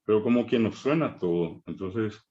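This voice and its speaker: A middle-aged man from Paraguay with a Paraguayan Spanish accent, a native Guarani speaker, giving a measured, emphatic conference talk with frequent hesitations. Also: fairly low pitch, lively intonation, dark tone, highs cut off.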